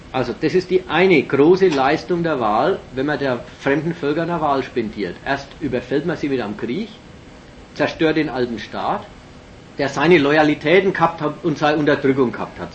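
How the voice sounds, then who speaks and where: Male lecturer, slightly high voice, emphatic and analytical, muffled lo-fi tape with faint hiss. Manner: emphatic, analytical, with many fillers and restarts. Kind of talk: political lecture. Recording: dull lo-fi recording with faint hiss.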